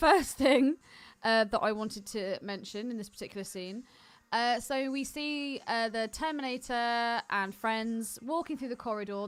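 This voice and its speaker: High-pitched, chatty woman co-hosting a podcast. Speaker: podcast co-host. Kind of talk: casual movie podcast banter.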